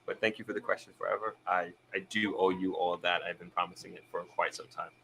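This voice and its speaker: Low-voiced man reading stream comments. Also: steady tone, quick pace, gravelly, bright, forceful tone, a little hiss or hum, poor audio. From Jamaica. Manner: hesitant, many restarts, thinking aloud. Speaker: live stream host. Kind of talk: casual chat reading viewer comments.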